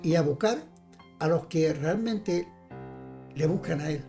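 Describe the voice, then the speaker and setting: The mellow voice of an evening online church broadcast, preaching insistently to the faithful while a guitar plays faintly behind. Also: mid-range voice, a little slow. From Chile. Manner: emphatic, direct address to listeners, repeats phrases. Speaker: evangelical preacher. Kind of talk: informal Bible teaching.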